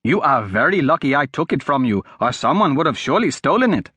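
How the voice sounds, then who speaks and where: A man voicing an eager, cheeky adolescent street boy in a fantasy audiobook, excitable and wheedling. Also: medium pitch, brisk, slightly rough, dry recording, voice pushed up, full character voice. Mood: eager, flattering. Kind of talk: audiobook character acting.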